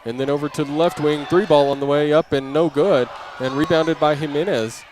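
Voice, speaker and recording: A man's voice: a little high, steady tone, a little fast, darkish tone, a faint crowd. A man calling live basketball play-by-play for an audio broadcast in short, clipped phrases, raising his voice with the action. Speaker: play-by-play announcer. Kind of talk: live basketball radio commentary. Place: indoor basketball gym during game. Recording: noisy gym ambience, bouncing balls.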